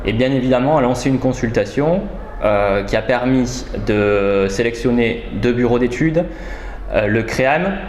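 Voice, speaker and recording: A man with a medium-pitched voice gives formal, interview-style explanations as a local official. He picks his words with hesitation and measure. The room adds clear echo, with slight noise behind. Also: moderate pace, a little mellow. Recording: roomy echo, faint background noise.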